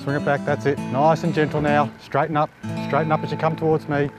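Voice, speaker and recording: A man's voice: fairly high pitch, flat delivery, brisk, music in the background. A man guiding a driver outdoors with short, repeated, encouraging directions. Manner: repetitive, encouraging. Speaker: off-road driving spotter. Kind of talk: short calm driving directions. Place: outdoors on a forest track. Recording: noisy outdoor recording with vehicle noise.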